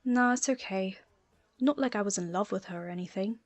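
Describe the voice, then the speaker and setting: A woman's voice: mid-range voice, fairly quick, close to an everyday voice. A woman voicing a cocky, playful teenage schoolboy with a casual, mock-offended manner in an audiobook. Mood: casual, guarded. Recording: music playing underneath.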